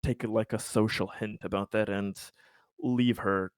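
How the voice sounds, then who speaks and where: A man playing a tabletop roleplaying game, talking casually and haltingly, often repeating his words. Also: fairly low pitch, steady tone, clean tone, no echo, clean studio sound. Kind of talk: casual in-character and out-of-character game talk.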